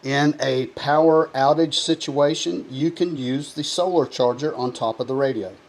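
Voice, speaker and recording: A man presenting a product review, explaining features one by one in a plain, steady way. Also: medium pitch, normal speed.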